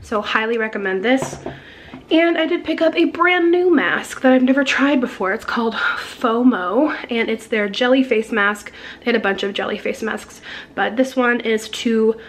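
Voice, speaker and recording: A young woman in her twenties, hoarse and losing her voice, chatting casually to a handheld camera in her kitchen with lots of giggles and fillers. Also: fairly high pitch, normal speed, slight background noise. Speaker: beauty vlogger. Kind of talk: casual vlog chat. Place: home kitchen. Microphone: handheld camera at arm's length.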